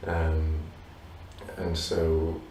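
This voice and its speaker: Brief: man, 30s, deep and even, reflective musician guest, roomy and off-mic. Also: extremely slow, slight background noise. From abroad, Polish parents. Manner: reflective, many "you know" fillers. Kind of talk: relaxed interview answers. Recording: roomy echo from a distance.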